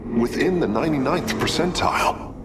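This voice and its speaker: An actor playing an alien scientist and engineer in a video game cinematic, speaking in a formal, technical and precise way. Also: male voice, fairly high pitch, expressive, moderate pace, natural voice. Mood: impressed, analytical. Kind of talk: dramatic game cinematic acting. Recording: game music behind the voice. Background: clear cinematic music.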